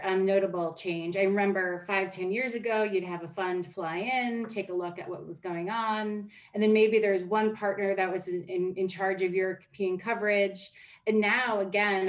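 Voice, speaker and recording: A woman speaking as an investor on a panel, steady and hedging with "um". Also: medium pitch, normal speed, distant mic, highs cut off. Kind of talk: panel discussion.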